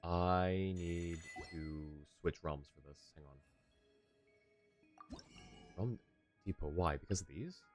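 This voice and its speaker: A man's voice: low voice, slow, pauses often, soft background music. A male streamer chatting casually while playing a game, rambling and laughing often. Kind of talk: casual gameplay chat.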